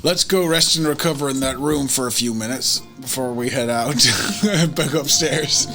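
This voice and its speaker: A man talks casually as a player at a tabletop game. His voice sits at an average male pitch, with faint music underneath. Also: moderate pace, pushed and bright.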